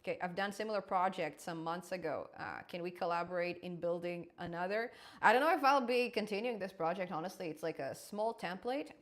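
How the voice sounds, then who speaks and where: A young adult woman hosting a live coding stream, chatty and quick to laugh, often restarting her words. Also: fairly low pitch, lively intonation. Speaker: programming channel host.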